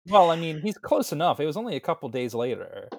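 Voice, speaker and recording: A young man co-hosting a casual podcast, relaxed and easygoing, often stumbling over his words. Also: on the high side, fast, creaky, slight background noise. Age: young adult. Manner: easygoing, stammers and restarts. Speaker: podcast co-host. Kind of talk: casual chat.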